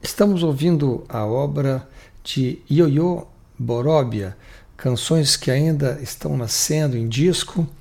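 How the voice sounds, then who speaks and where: A man's voice: medium pitch, slow pace, gravelly, a little mellow, roomy sound. A man hosting a radio interview, with a friendly way of talking. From Brazil. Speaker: radio host.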